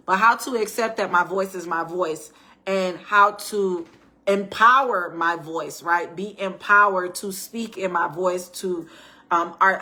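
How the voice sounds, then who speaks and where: Bright-voiced chatty woman vlogger. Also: on the low side. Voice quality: raspy at times. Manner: chatty, addresses viewers as y'all, frequent restarts and rhetorical "right?". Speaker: faith and life-planning vlogger. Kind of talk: casual personal storytime talk.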